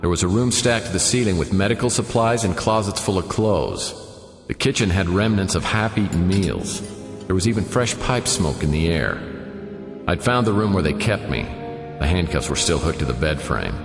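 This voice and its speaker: A sardonic, hard-boiled private eye narrates in a very low voice with a lively, swinging delivery. The sound is roomy with a long reverb tail. This is a noir radio drama. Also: male voice, moderate pace, music in the background. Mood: wry. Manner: dry, sardonic. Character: wisecracking hard-boiled male private detective.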